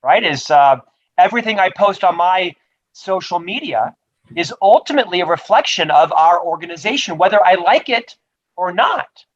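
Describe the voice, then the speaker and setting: A man, a book author, answering rapid-fire interview questions in an upbeat, promotional way over a video call. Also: high-pitched, lively intonation, lo-fi sound. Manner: upbeat, promotional, says he stutters but talks fluently. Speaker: author and guest.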